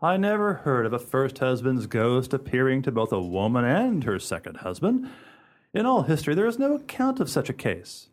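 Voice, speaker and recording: A woman acts a meddling gentleman doctor in a radio drama, low in pitch and pompous. The formal phrasing rises and falls with plenty of animation. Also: big pitch swings, moderate pace, heavily acted. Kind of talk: radio play acting.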